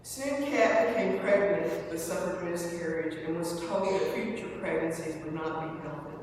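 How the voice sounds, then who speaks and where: A woman giving a halting, measured scripted reading at a memorial service. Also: lowish voice, a little mellow. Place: large church hall, indoors. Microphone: far from the speaker. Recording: echoey church hall, distant mic, noisy.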